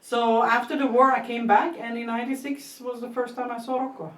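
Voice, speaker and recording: A woman from Norway guiding visitors through a small sponge museum, talking in a halting, word-searching way. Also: fairly high pitch, flat delivery, crowd noise. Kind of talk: casual explaining chat. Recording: echoey room, distant from mic, faint noise.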